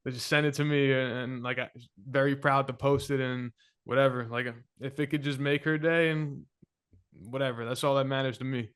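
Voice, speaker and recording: A man's voice: medium pitch, steady tone, brisk, fairly bright tone, smooth. A man speaking as a podcast guest, laid-back and candid in casual talk. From United States. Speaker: guest musician.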